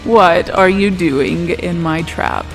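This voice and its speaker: A man's voice: high-pitched, takes it slowly, soft background music. A man playing a smug, mock-friendly phantom villain in a tabletop roleplay podcast, taunting with cool menace.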